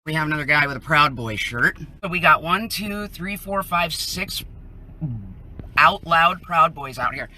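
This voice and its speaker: Animated, indignant American woman, bright voice. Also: low voice, unhurried, a little hiss or hum, rough recording. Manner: indignant, emphatic, rhetorical. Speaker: citizen filming a protest from a vehicle. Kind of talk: live commentary to camera. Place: inside a vehicle on a residential street.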